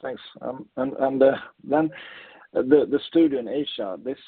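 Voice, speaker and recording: A man's voice: mid-range voice, a little slow, husky, dry recording. A man who is a financial analyst, putting questions on an earnings call and often restarting them tentatively. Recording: dry, dull band-limited phone line.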